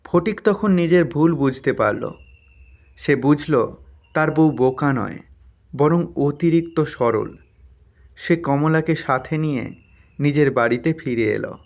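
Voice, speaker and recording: Male storyteller, scripted cartoon narration, unhurried, slightly high voice. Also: creaky, darkish tone.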